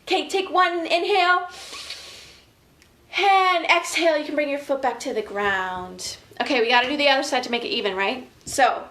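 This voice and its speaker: An American woman leading children's story time as an educator, expressive and chatty, reading and giving instructions directly to young listeners. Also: sits high, moderate pace. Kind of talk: animated picture-book reading and casual guided instruction for young children.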